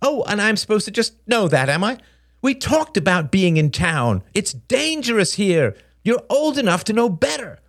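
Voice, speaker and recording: A man's voice: high-pitched, sing-song, moderate pace, voice pushed up, exaggerated voice. A man voicing an irritated mother in an audiobook story, snapping and scolding with heavy sarcasm.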